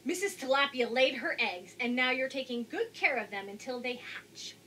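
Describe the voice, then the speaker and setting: Casting: woman as cheery, polite storybook seahorse gent, high-voiced, encouraging. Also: animated, normal speed, bright, forceful tone, a little hiss or hum. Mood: approving. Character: polite, encouraging male seahorse, a proud-dad well-wisher. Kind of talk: children's picture-book read-aloud.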